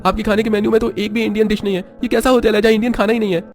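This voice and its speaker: A man's voice: high-pitched, flat delivery, rapid-fire, a little mellow, pitched above the performer's own voice, natural voice. A man voicing a naive, indignant rustic waiter in a story, protesting in a plaintive, bewildered way. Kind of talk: cartoon moral-story acting.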